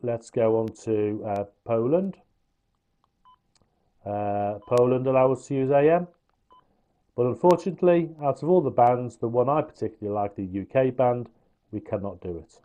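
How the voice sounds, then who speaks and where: A man with a soft-edged, mellow voice talks through a piece of gear in an informal review. He explains each feature plainly and matter-of-factly, in a relaxed tone at a middling pitch. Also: normal speed, muffled recording. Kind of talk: informal product review and demonstration.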